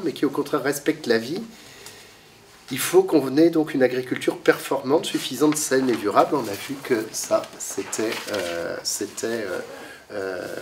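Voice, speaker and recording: A man's voice: medium pitch, recorded from a distance, a little hiss or hum. A man with a cold giving a talk to a library audience, lecturing with lots of fillers and restarts, coughing now and then. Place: library meeting room.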